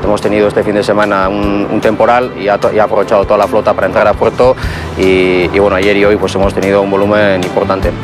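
Spanish fish market guy, low voice, lots of ums, music loud over it. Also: flat delivery, quick pace. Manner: hesitant, many fillers. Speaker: fish market worker interviewed. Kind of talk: interview explaining the fishing trade.

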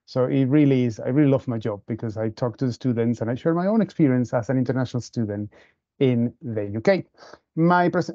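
A man's voice: medium pitch, warm tone, no room sound, muffled recording. A man from Venezuela with a Venezuelan Spanish accent, presenting his university over a video call with eager enthusiasm and frequent restarts. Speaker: university international officer.

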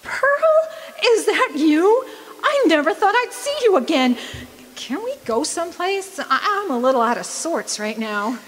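Over clear room noise, a feisty but bewildered gold-rush singer speaks , acted by a woman in a radio play. Her high voice rises and falls dramatically, theatrical and plaintive. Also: very animated, unhurried, exaggerated voice. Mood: surprised, fond. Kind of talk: old-time radio drama acting. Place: live performance at a community venue.

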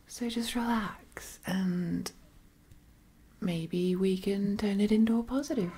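A woman voicing an affectionate, teasing witch girlfriend in an intimate ASMR roleplay, soft and breathy, often slipping into whispers, with tender, playful cooing. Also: fairly low pitch, a little hiss or hum. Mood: soothing, gentle. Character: affectionate, teasing witch girlfriend soothing her werewolf partner.

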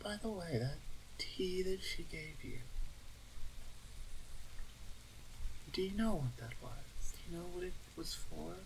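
A woman voicing a reserved, dominant orc huntress in an ASMR roleplay, soft-spoken and measured, often slipping into a whisper. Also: fairly low pitch, animated, creaky, slight background noise, low-quality recording. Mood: thoughtful.

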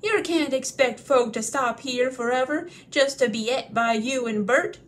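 A woman voicing a coarse male troll, rough and bullying. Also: high-pitched, a little slow, pitched above the performer's own voice. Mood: grumbling.

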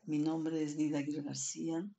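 A woman in her sixties from Andean Peru, a native Quechua speaker, speaks in a halting, solemn, measured way as a poet presenting her work. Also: low-pitched, flat delivery, gravelly, dark tone, muffled recording. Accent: Andean Peruvian. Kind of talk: introducing and reading own poetry. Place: small plain room indoors.

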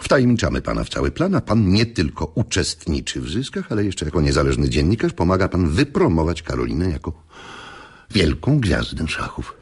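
An actor playing a scheming, pushy chess coach in a radio drama, with a rough voice and insistent, repetitive phrasing. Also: male voice, sits low, sing-song, brisk, heavily acted. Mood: urgent persuasion. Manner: repeats phrases, persuasive, insistent. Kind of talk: Polish radio drama acting.

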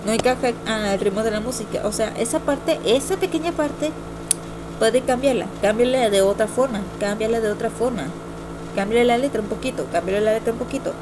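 A woman's voice: a little high, a little fast. A young woman giving a casual opinion vlog in a rambling, hesitant way, often repeating herself. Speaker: amateur video reviewer. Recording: noisy amateur home computer recording.

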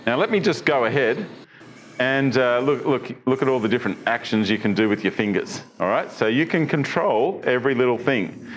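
A man's voice: mid-range voice, lively intonation, fairly quick, slight background noise. Middle-aged man, tech news presenter, chatty, filler-heavy, studio, lapel mic. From Australia. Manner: chatty, many fillers and restarts, asks viewers questions. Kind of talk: casual tech news walkthrough. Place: studio with branded backdrop.

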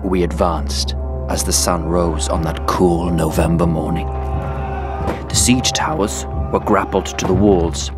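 Low, slow brooding crusade veteran. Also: male voice, fairly even intonation. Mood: grave. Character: brooding crusade veteran recounting war as bedtime story. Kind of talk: dramatic first-person storytelling. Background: music.

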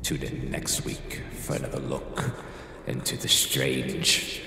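Croaking, sinister horror-show host. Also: male voice, rumbling low, little pitch movement, heavily acted. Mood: ominous, portentous. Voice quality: very gravelly, croaking. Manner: slow, portentous, grandiloquent. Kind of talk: scripted horror anthology narration. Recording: echoing long reverb, faint noise.

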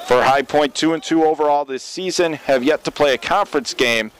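A man's voice: fairly high pitch, brisk. Male baseball play-by-play announcer, noisy ballpark. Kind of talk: live sports play-by-play commentary. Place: open-air baseball stadium. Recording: clear outdoor ballpark ambient noise.

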